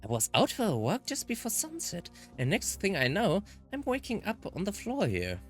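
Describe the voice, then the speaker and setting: Man acting as young woman, visual novel character, high voice, animated, breezy, playful, excitable. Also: normal speed, soft background music, full character voice. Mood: casual, puzzled.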